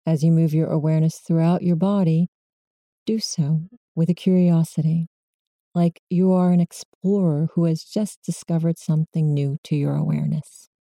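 A woman guides a meditation in a low, very mellow and soft-edged voice. She gives gentle, measured instructions in the manner of a mindfulness teacher. The sound is close and dry, with no room around it. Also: flat delivery, vocal fry, no room sound.

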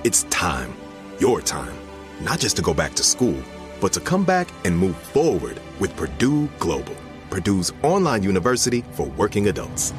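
A man announcer reading a scripted advertisement with slow, emphatic delivery. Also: low voice, huge pitch swings, over music. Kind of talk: scripted ad read.

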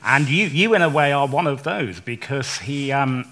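A man interviewing a guest at a live festival event, asking hesitant, halting questions full of ums. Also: medium pitch, lively intonation, sharp tone.

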